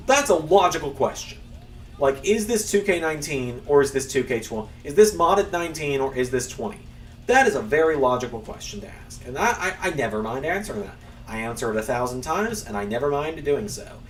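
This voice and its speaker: A man's voice: medium pitch, fairly bright tone, distant mic, slight background noise. A young man streaming a wrestling game, chatting casually to viewers in a rambling, self-mocking way that includes swearing. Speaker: gaming streamer. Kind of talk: casual live-stream chat while playing.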